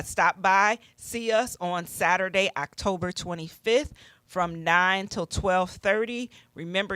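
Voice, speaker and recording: A woman with a bright, projecting voice in a dry-sounding space gives a conversational on-air announcement. She sounds like an encouraging panelist, repeating key phrases for emphasis as she promotes an event. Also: fairly low pitch, dry recording. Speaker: ministry leader and radio panelist promoting an event.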